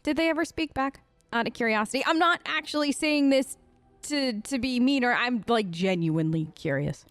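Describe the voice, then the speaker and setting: A woman's voice: on the high side, animated, normal speed, dry recording, soft background music. A woman hosting a role-play let's play, chatting casually in character in an earnest, playful way. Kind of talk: casual in-character game commentary.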